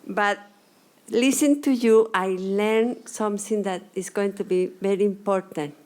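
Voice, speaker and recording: Halting woman commenting from the audience. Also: medium pitch, steady tone, darkish tone. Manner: halting, searching for words. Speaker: audience member. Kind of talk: question period comment.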